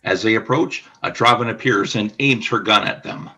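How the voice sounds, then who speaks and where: A man narrating a scripted radio play as storyteller, with a husky voice. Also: fairly low pitch, moderate pace, pushed and bright, lo-fi sound.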